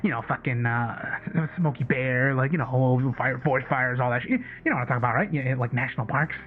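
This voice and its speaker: A man's voice: mid-range voice, a little fast, lightly acted. An actor playing an agitated, obsessive conspiracy caller in a radio drama, stammering, repeating himself and swearing as he rambles. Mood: insistent, probing. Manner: stammering, repetitive, profane rambling. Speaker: caller on a late-night radio show. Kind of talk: audio drama acted as casual talk radio. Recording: tinny phone-like line with background music.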